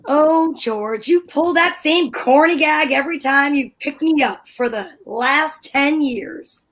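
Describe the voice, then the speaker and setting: A woman's voice: high voice, slow pace, a bit bright. A woman reading the part of a huffy, exasperated wife in an amateur old-time radio play. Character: wife Liz, fussy dramatic housewife.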